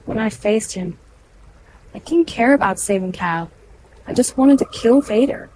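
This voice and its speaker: A woman's voice: fairly high pitch, lively intonation, a little slow, warm tone, poor audio. A woman playing an intense, wounded young warrior daughter in an audio drama. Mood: troubled. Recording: clear background noise.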